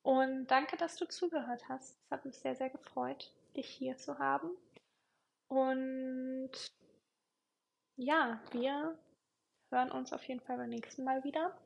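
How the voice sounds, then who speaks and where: Young woman rambling thru her solo podcast, lots of ums, kinda gravelly, higher voice. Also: steady tone, a little slow, long pauses, a little hiss or hum. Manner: chatty, many fillers, repeats words for emphasis. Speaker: podcast host. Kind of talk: casual solo podcast reflection.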